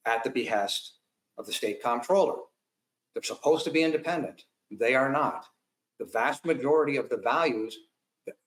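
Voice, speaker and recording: A man's voice: medium pitch, a bit bright, roomy sound. A man speaking as a guest, blunt and combative in his commentary. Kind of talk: talk-show commentary.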